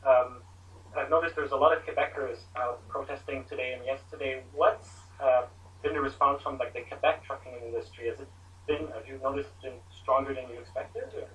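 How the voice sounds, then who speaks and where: Man, bright voice, halting questions, distant mic, faint background noise. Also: mid-range voice, fairly even intonation. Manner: hesitant questioning with many fillers. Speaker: reporter asking questions.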